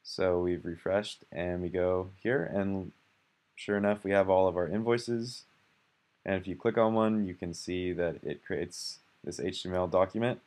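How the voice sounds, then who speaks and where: A young man with a deep, low voice talks through a screen recording at a relaxed pace. He sounds unscripted, with plenty of "um"s and restarts, and mouse clicks are heard behind him at a desk mic. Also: moderate pace. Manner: relaxed and conversational, with fillers and self-corrections. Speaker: software developer demoing his app. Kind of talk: casual screencast walkthrough.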